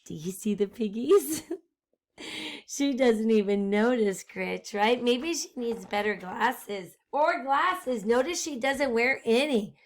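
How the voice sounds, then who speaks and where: Slow, high-voiced woman reading to children. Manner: expressive, asks listeners questions. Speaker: storytime reader, teacher. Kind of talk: children's read-aloud with asides to listeners.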